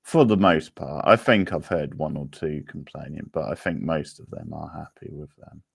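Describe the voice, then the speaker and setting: A man hosting a livestream quiz, chatting casually in an unhurried, rambling way as he replies to viewers. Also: a bass voice, creaky, slightly rough, no echo, clean studio sound.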